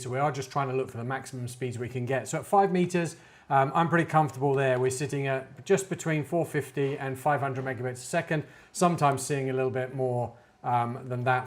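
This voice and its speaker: A man giving an informal, hands-on tech demonstration, talking it through hesitantly as he works. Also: mid-range voice. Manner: hesitant, thinking aloud while working. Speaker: tech reviewer demonstrating network gear.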